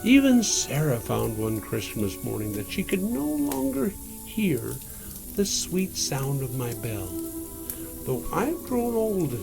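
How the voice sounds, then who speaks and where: A man reading a children's story aloud in a theatrical, drawn-out way, as a Santa performer. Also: on the high side, wildly swooping pitch, creaky, rough recording. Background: music.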